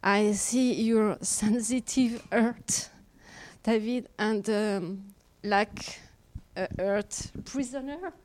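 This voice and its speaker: A woman's voice: mid-range voice, very slow, darkish tone. A woman with a French accent, a native French speaker, giving personal, prayer-like encouragement in church and searching for words in English.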